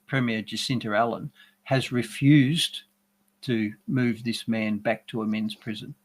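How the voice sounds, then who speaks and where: Aussie guy with dry sarcastic delivery, measured, mid-pitch voice. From Australia. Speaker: regular commentator. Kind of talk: opinionated news commentary.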